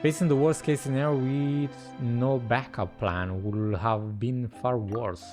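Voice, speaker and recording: Slow-talking male gamer reacting casually. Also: mid-range voice, steady tone, a little mellow. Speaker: video game streamer. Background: faint game music.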